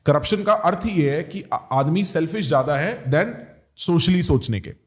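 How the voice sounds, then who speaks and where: A man's voice: a little high, animated, normal speed. A man in his late twenties from India asking interview questions casually, switching freely between Hindi and English. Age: 20s. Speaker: podcast host, interviewer.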